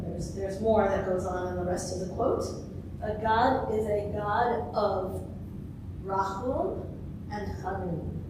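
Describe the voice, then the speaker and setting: A woman rabbi giving a thoughtful sermon, her voice a little raspy and hoarse from talking, with many ums. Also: medium pitch, background noise. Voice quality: slightly raspy. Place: synagogue sanctuary. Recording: echoey sanctuary from far off.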